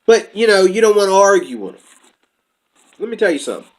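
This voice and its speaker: High-voiced man in a rambling monologue. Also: very animated, fast talker, low-quality recording. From United States. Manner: rambling, talks from notes, puts on quoted voices. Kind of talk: casual monologue commentary.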